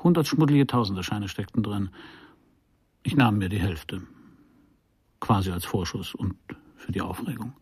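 An actor playing a wry private detective who narrates his case in a dry, deliberate, sardonic way for a radio crime play. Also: lowish voice, a little mellow, a little hiss or hum, lightly acted. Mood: dry, tense. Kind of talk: scripted first-person noir radio play narration.

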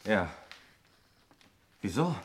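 An actor playing a suave gambler, nephew of a rich aunt, in a 1960s German radio crime play. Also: male voice, lowish voice, sing-song, drawn-out words, heavily acted. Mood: puzzled. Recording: noisy old 1960s radio recording.

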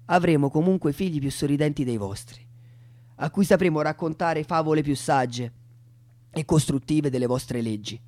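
A man declaims a stage monologue. His voice is fairly high for a male, and he lands each phrase emphatically, as if counting through a list. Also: moderate pace, warm tone. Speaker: stage performer. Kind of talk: theatrical spoken monologue.

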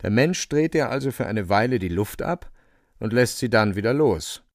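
A man's voice: medium pitch, expressive, normal speed, no echo, clean studio sound. A man narrating a literary radio play with vivid, suspenseful storytelling.

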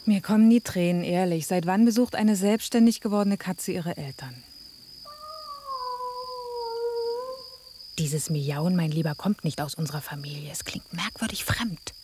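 An actress playing a sensible, sardonic mother cat, dry, well-spoken and gently chiding, in a radio drama. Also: on the low side, moderate pace, few pauses, background noise, natural voice. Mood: wary, dry. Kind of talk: German radio drama acting.

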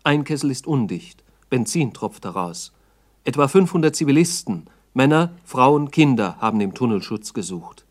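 A man's voice: mid-range voice, big pitch swings, moderate pace. A man narrating a 1960s documentary radio drama in a terse, factual reporting manner.

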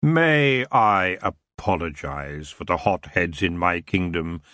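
A man doing a lazy, smooth elf king. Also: on the low side, sing-song, a bit bright, dry recording. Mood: languid. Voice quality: smooth, unhurried. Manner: lazy, drawn-out, coolly polite. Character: ancient antlered male elf king, lazy and menacing. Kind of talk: audiobook character voice.

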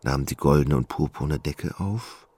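A man narrating a literary radio drama in a measured, grave manner. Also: extremely low pitch, darkish tone, slightly rough, no room sound.

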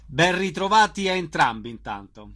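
A male radio host with a somewhat high voice. He talks in a conversational way, as an interviewer putting questions to guests. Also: very animated, normal speed, a bit bright.

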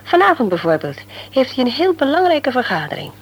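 An actress playing a worried, thoughtful mother in a Dutch radio play, speaking in a measured, explanatory way. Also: high voice, sing-song, breathy edge, full character voice. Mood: concerned, fond. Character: Els, worried mother and former nurse, thoughtful. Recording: humming, noisy radio play recording.

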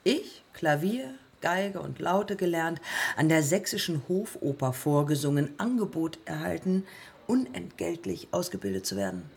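Raue, knarrende Pionierin der Luftfahrt. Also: female voice, low voice, very animated, exaggerated voice. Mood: intense, brooding. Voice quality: husky, creaky. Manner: terse, deliberate, telegram-like phrases. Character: determined, proud woman pilot and sculptor of early aviation. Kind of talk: radio play monologue and dialogue.

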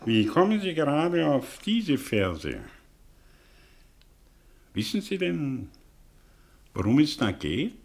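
A man's voice: fairly high pitch, very animated, gravelly, exaggerated voice. An actor in a German radio play playing a suspicious, sly middle-aged tutor with a theatrical, probing way of drawing out his phrases. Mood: wry, probing. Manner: theatrical, probing, drawn-out phrasing with sudden swings. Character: suspicious, sly English tutor, a man living under an alias.

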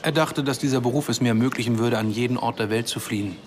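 An actor playing a dryly ironic man in his early forties, telling his story in a measured way in a German radio drama. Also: mid-range voice, slight background noise, close to an everyday voice. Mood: matter-of-fact. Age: 40s.

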